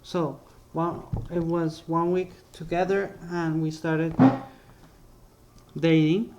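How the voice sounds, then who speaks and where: A young man casually narrating his life story over drawings, halting often and correcting himself as he goes. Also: fairly high pitch, level tone, background noise. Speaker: vlogger.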